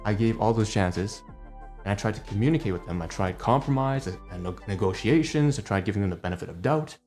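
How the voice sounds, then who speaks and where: A man's voice: fairly low pitch, expressive, a little fast, a little mellow, soft background music. A man in his late twenties whose first language is Cantonese, ranting heatedly at home in a personal vlog, swearing often and tagging lines with "all right?" and "okay?". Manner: heated, profane ranting with many rhetorical tags. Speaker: vlogger.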